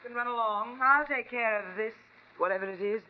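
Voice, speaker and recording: Haughty society woman, played by an actress, crisp high voice, cool sarcasm, dull old radio sound. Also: fairly quick, natural voice. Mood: cool, dismissive. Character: haughty, brusque, protective society sister-in-law. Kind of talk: 1940s-50s radio drama acting. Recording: dull vintage radio recording.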